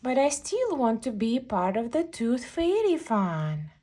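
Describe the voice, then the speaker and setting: A woman's voice: a little high, big pitch swings, measured pace, dark tone. A woman voicing a polite, earnest young male platypus in a children's storybook. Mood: earnest, hopeful.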